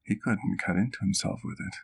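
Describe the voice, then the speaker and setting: Man acting a low, creaky, eloquent vampire doctor. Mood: reflective. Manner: formal, literary, measured storytelling. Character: old vampire doctor, doting, eloquent, wistful storyteller. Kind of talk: ASMR roleplay.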